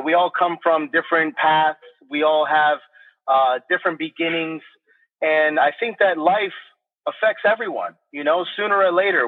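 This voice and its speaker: A man speaking as a podcast guest about his credit repair business, earnest and casual, often adding filler words and checking in with "right?". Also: fairly high pitch. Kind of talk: casual interview talk. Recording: tinny phone-line sound.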